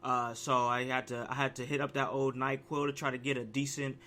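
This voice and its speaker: A man hosting a casual podcast chat, sounding raspy from a cold, talking with an animated, hyped-up energy and frequent restarts. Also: medium pitch, brisk, slight background noise. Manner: animated, hype delivery with many restarts. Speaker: podcast host.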